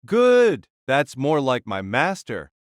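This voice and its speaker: A big, commanding warrior king, as a man acts him out, hearty and loud, his voice swinging widely up and down. The read is bright and dry, close to the mic. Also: mid-range voice, wildly swooping pitch, takes it slowly, no echo. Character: booming bold warrior king, male, middle-aged.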